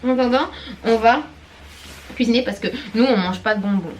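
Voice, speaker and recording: A young woman from Réunion, speaking, chats in a laughing, lively way with quick asides while she cooks in her home kitchen for a vlog. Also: fairly high pitch, background noise. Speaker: vlogger. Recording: echoey room.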